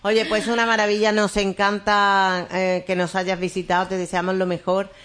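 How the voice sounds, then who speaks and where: A woman's voice: mid-range voice, little pitch movement, moderate pace, fairly bright tone. A woman radio host asking friendly, rambling questions in an interview.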